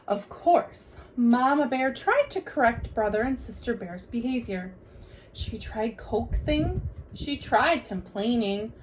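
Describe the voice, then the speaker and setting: A woman reading a children's picture book aloud like a teacher, with expressive, deliberate delivery. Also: on the high side, a little hiss or hum, rough recording.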